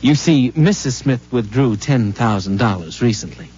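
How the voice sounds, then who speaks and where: An actor playing a polite, deferential bank teller in a 1950s radio drama. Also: male voice, mid-range voice, lively intonation, normal speed, warm tone, husky. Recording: dull old radio broadcast recording.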